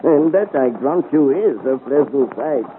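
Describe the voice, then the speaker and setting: An actor playing a grumbling, wry middle-aged merchant in a 1950s radio serial, fussy and theatrical. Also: male voice, medium pitch, lively intonation, unhurried, narrow, tinny audio, close to an everyday voice. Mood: wry, sly. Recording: scratchy 1950 radio recording, thin band.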